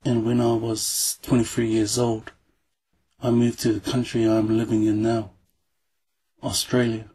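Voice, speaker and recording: A man reads his script slowly and deliberately. His voice is very breathy and husky. He keeps it level, with little rise and fall. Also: fairly low pitch, completely flat delivery, dark tone. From Samoa, raised in New Zealand. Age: 40s. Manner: measured, deliberate, spells out terms. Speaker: YouTube presenter. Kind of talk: read-aloud scripted narration.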